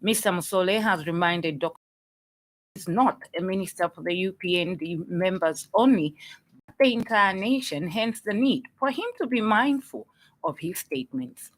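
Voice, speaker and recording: A woman with a Zambian accent reading a scripted radio news bulletin in a formal, measured manner. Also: medium pitch, animated, rough recording. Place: radio studio.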